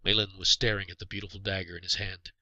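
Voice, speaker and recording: A man narrating a scripted storybook tale as its author, in a dramatic, expressive way. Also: deep voice, fast, no room sound. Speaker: author reading own story.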